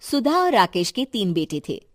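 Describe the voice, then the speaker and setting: A woman's voice: medium pitch, sing-song, moderate pace, no room sound, studio quality. A woman narrating a scripted moral cartoon story.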